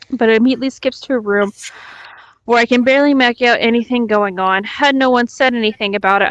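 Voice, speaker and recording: A woman's voice: medium pitch, a bit bright, smooth, no room sound, muffled recording. A woman co-hosting a read-along, narrating a written story aloud. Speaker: co-host reading stories aloud.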